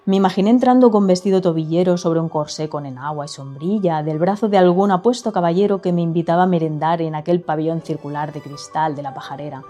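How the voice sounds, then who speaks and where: A woman's voice: on the low side, fast, hardly any pauses, mellow, soft background music. A woman reading a first-person literary short story as a scripted narration.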